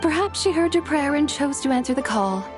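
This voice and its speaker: A woman playing a composed, wise, slyly literal mentor in a video game, with measured, formal delivery. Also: high voice, lively intonation, over music. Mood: encouraging. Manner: measured, formal, matter-of-fact.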